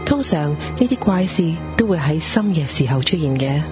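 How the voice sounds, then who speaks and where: An actress plays a polite night-shift pager operator in a ghostly radio drama. Her female voice is fairly low, with a Hong Kong accent, over prominent music in a dull recording. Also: expressive, normal speed. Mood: storytelling, eerie. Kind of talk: scripted radio drama narration. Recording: dull lo-fi audio under music.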